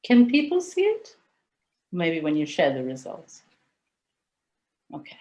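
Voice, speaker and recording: Low-voiced woman presenting a webinar. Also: huge pitch swings, unhurried, mellow. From India. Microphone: video call.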